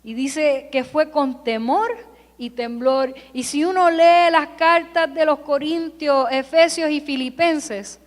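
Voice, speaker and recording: High-voiced woman preaching slowly in a hall. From California, United States. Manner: emphatic preaching with repeated parallel phrases. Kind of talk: Sunday sermon. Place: church sanctuary. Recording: long hall reverb.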